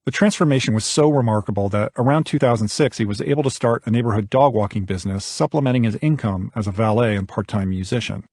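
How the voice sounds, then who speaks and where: A man's voice: on the low side, fast, few pauses, darkish tone, no echo, clean studio sound. A man narrating a nonfiction audiobook from a script.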